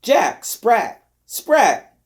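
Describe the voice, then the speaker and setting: A man's voice: very high voice, wildly swooping pitch, very slow, pitched above the performer's own voice, heavily acted. A man reading an audiobook, voicing a bossy, impatient housewife who scolds and hollers. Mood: exasperated. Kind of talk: audiobook character voice.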